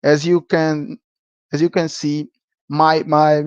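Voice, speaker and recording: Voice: medium pitch, little pitch movement, takes it slowly, clear, resonant tone, no room sound. Teacher, dull dry recording. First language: Portuguese. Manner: checks in with a frequent "okay?". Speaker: programming teacher. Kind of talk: live-streamed lesson.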